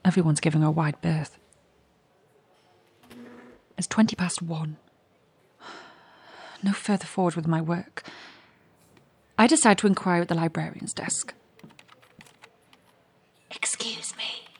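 An actress playing a restless, curious young woman in an audio drama monologue, confiding and wry, with a hushed, husky voice. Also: lowish voice, steady tone, a little slow, pauses often. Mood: uneasy, reflective, then concerned, flustered.

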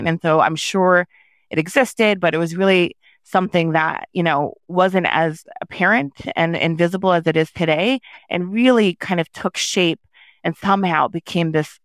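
Brief: woman from New York, guest on a podcast, reflective and halting, smooth resonant voice, dry close mic. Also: fairly low pitch, dry recording. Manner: reflective, with frequent restarts and fillers. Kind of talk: conversational interview answers.

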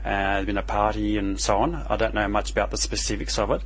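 Male radio host, husky, casual and chatty. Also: on the low side, fast talker. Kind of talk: community radio interview.

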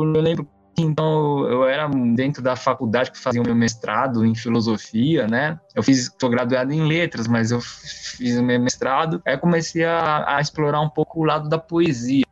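A man's voice: mid-range voice, dry recording. A man from Brazil, a guest telling his story in a casual, rambling way that often doubles back. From born in Taiwan, raised in São Paulo, Brazil from age five. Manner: rambling, self-interrupting, seeking agreement. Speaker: interview guest. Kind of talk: casual autobiographical talk. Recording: dull lo-fi sound with music behind. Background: faint music.

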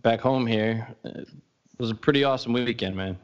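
A man's voice: medium pitch, moderate pace, lo-fi sound. A man co-hosting a casual chat show, talking bluntly and profanely with a teasing edge. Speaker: podcast co-host.

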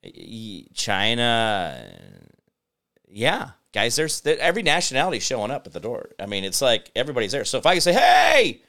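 An American man hosting a talk show with rambling, off-the-cuff political commentary in a halting, sardonic, digressive manner. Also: mid-range voice, wildly swooping pitch, bright, forceful tone. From United States.

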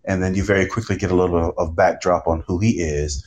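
Deep-voiced man speaking earnestly. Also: level tone, fast, a little mellow. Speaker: podcast host and panel guest. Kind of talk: live panel talk, storytelling.

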